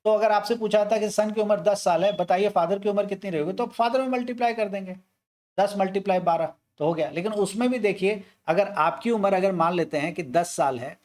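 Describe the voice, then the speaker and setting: A man's voice: high-pitched, a little fast, darkish tone. A man teaching maths, walking through an age word problem step by step and asking and answering his own questions.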